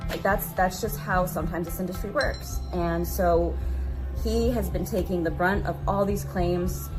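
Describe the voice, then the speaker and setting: A woman content creator giving an earnest, halting personal apology. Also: fairly low pitch. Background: background music.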